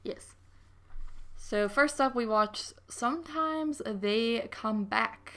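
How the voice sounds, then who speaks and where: Creaky-voiced woman chatting casually. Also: fairly high pitch, slow pace, a bit bright. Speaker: podcast co-host. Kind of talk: casual movie-review chat.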